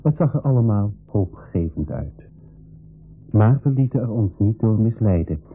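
A man with a Flemish accent playing an adventurer who narrates his travels in a measured, storytelling way for a radio play. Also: lowish voice, lively intonation, husky, faint music behind. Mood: measured, suspenseful. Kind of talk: scripted first-person radio play narration. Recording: muffled, thin old radio recording.

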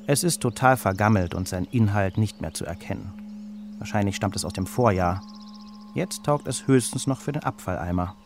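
A man reading a children's story as its narrator, with an expressive storytelling delivery. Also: on the low side, normal speed, noisy background.

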